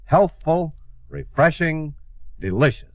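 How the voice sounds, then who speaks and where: A man's voice: fairly high pitch, expressive, slow, vocal fry, dry recording. A man working as a radio announcer, reading a scripted advertisement in a 1950s style. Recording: muffled old radio recording.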